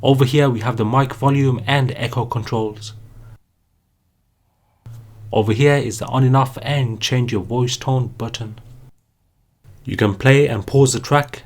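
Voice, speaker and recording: A man's voice: mid-range voice, unhurried, pauses often. A man narrating a scripted product review as the host of a review channel.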